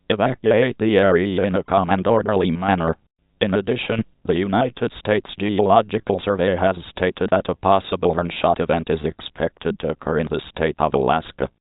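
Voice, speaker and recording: Low synthetic male alert voice, phone-like sound. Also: no room sound. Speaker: emergency alert announcer. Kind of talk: scripted emergency broadcast announcement. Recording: muffled phone-like narrowband audio.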